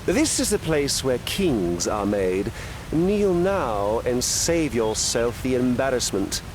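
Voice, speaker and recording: An actor playing a haughty, theatrical trickster god in a video game, with a pompous, mocking manner. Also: male voice, medium pitch, big pitch swings, slow pace, background noise. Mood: imperious.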